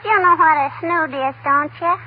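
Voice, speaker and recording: A woman's voice: high voice, fairly even intonation, moderate pace, natural voice. An actress playing a naive, cheeky little neighbor girl, coaxing in a childlike way in an old-time radio comedy. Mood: cheeky, insistent. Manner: coaxing, childlike, with repeated "hmm" and "I bet you". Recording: tinny, slightly noisy vintage radio recording.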